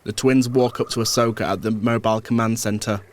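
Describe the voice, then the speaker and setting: A man's voice: on the low side, fairly even intonation, a little fast. A man narrating a scripted audio drama. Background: music.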